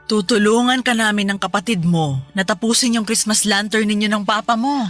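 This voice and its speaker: A woman's voice: mid-range voice, a little fast, fairly bright tone, lightly acted. An actress playing a playful, boastful middle-aged mother of two in a Tagalog radio drama. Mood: encouraging. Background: faint background music.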